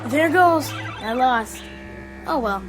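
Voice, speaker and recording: A woman's voice: sits high, lively intonation, slow, music in the background. A woman playing a casual, easygoing kid absorbed in an arcade game in a radio drama, offhand and youthful.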